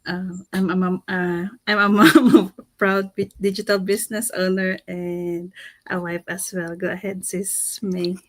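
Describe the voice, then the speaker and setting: A lower-voiced woman speaks on a livestream in a measured, pausing way. Her voice sounds dry and close, with no room echo. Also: little pitch movement, fairly bright tone. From Philippines. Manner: measured, with frequent "um" pauses. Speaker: livestream co-host. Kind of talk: casual livestream talk.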